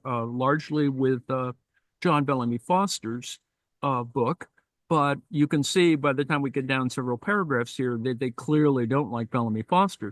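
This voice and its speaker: Discussion leader, male, mid-pitched, dry close sound; reads a text aloud and pauses to comment, with halting, filler-heavy speech. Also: no echo. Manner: thoughtful, halting, many fillers and asides. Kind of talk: reading and commenting in a live-streamed discussion.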